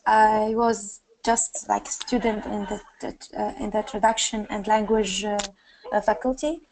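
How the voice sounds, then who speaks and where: A woman in her twenties from Syria with a Syrian Arabic accent, giving a personal account on a panel in halting, non-native English, often searching for words. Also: on the high side, a little mellow, a little hiss or hum, poor audio. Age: 20s. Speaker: activist and panelist.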